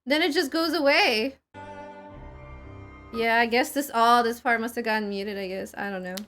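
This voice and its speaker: A woman's voice: on the high side, moderate pace, fairly bright tone. A woman live-streaming a game, chatting and reacting informally with casual swearing. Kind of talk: live game reaction, reading cutscene dialogue aloud. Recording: music behind the voice. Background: game music.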